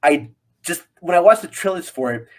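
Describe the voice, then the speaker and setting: A man speaking as a guest reviewer in a casual film discussion, halting and repeating himself for emphasis. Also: on the high side, lively intonation.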